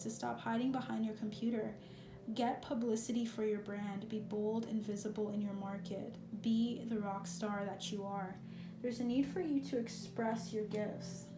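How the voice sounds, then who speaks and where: Gentle tarot reader woman, echoey room. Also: mid-range voice, background noise. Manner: gentle, reassuring, intuitive musing. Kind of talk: pick-a-card tarot reading.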